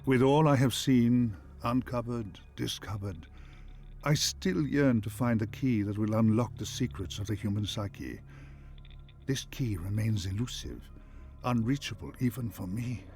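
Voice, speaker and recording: A man playing an ancient, wistful ascended machine mind, speaking in a grandiose, measured and philosophical way. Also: medium pitch, lively intonation, a little mellow. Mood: grandiose, brooding. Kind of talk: theatrical scripted game voice acting.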